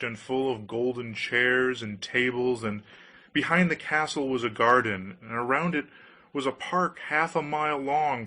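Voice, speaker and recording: A man narrating a storybook fairy tale in an expressive, measured way. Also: mid-range voice. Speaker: audiobook narrator.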